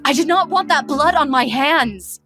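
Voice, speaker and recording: A woman's voice: high voice, moderate pace, close to an everyday voice. A woman voicing a motherly, guilt-ridden goat monster queen with formal, heartfelt diction, in a dramatic comic dub. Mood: regretful.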